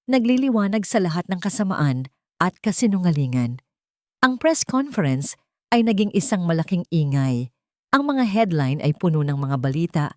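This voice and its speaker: A Filipino woman narrates a dramatic story in a fairly low voice. Her phrasing is solemn and measured, and the recording is dry and close. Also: darkish tone, dry recording. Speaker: audiobook narrator.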